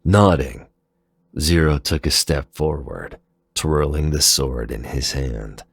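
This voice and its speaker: A man's voice: very deep voice, takes it slowly, creaky, breathy edge, no echo, studio quality. A man reading a scripted dramatic story as its narrator.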